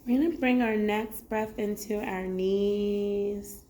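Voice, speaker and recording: A woman guides a meditation at a very slow, gentle pace, leaving long pauses between instructions. Her voice is soft-edged and mellow at a medium pitch. It sounds like a roomy, echoing space, with music playing behind her. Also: little pitch movement, slight background noise. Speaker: meditation teacher. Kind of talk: guided body scan meditation. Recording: roomy echo.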